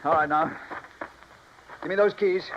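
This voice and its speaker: A man's voice: fairly high pitch, measured pace, natural voice. Man acting menacing American bandit, jittery, cocky, slangy, 1950s radio style, dull sound, faint noise. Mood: edgy, threatening. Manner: slangy, threatening. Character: cocky, jittery male gunman, menacing small-time bandit.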